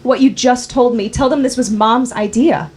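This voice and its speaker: A woman's voice: on the high side, steady tone, moderate pace, a little mellow, lightly acted. A woman playing a bitter, wounded daughter confronting her guilty father in a screenplay table read. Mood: urgent, insistent.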